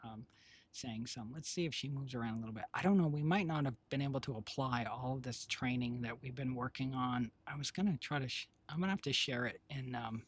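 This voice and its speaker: A hoarse man with a raspy voice chatting casually on his live stream, rambling with many fillers and restarts. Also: mid-range voice, brisk. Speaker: streamer and developer.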